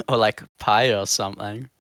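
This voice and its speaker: A man's voice: fairly low pitch, fairly even intonation, unhurried, fairly bright tone, no room sound. A young adult man in casual chat, confidently explaining and correcting others. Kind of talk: casual chat among players.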